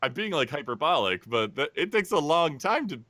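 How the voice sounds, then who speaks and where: A man chatting casually as a podcast panelist, halting but matter-of-fact. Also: a little high, very animated, bright, forceful tone, no room sound. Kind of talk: casual chat.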